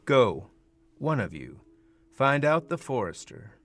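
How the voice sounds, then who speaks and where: A young man playing a proud, commanding duke, declaiming Shakespearean verse deliberately in a radio play. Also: medium pitch, very animated, over music. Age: teens or young adult.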